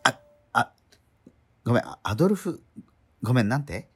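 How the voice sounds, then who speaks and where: A man plays a curious teenage boy, new at school, in a radio drama. He is polite and quick to apologise, with puzzled little interjections. His pitch moves about a lot, and music plays faintly behind him. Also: big pitch swings, fairly bright tone. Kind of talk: conversational radio drama acting.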